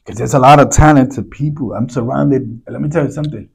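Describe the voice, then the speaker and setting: A hoarse man who is losing his voice hosts a solo podcast, rambling loosely and clearing his throat often. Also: mid-range voice, fairly quick. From New York City. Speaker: podcast host.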